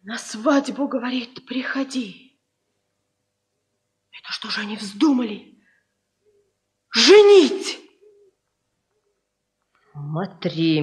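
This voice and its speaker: An actress playing a sharp-tongued, jealous and desperate peasant wife in a 1950s radio drama, with rustic, scolding speech. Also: female voice, a little high. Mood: brooding, threatening. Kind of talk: 1950s Soviet radio play acting.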